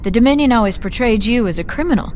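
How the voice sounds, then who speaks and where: An actress playing an earnest, articulate colony doctor in a science fiction video game. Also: female voice, fairly high pitch, lively intonation, fast talker, darkish tone, slight background noise, natural voice. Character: earnest, forthright young female colony doctor. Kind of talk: video game cutscene acting.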